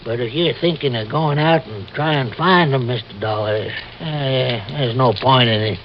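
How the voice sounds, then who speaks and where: A man's voice: mid-range voice, moderate pace, close to an everyday voice. An actor playing a folksy, cautious backwoods man who is deferential and rambles hesitantly, in old-time radio drama style. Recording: dull, hissy old radio recording.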